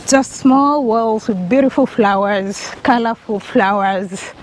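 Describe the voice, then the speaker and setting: A woman's voice: fairly high pitch, slow pace, slight background noise, rough recording. A young Kenyan woman with a Kenyan accent, chatting to an action camera held at arm's length as she walks a sunny residential street. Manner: chatty, enthusiastic, talking to the camera while walking. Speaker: travel vlogger. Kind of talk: walking travel vlog.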